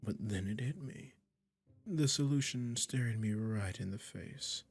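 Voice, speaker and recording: A man's voice: fairly low pitch, breathy edge, soft background music. A man playing a possessive, sweetly menacing male witch in an intimate roleplay audio, coaxing and condescending as he speaks to the listener. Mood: obsessive, brooding. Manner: slow, coaxing, condescending pet-name talk, dropping into whispers.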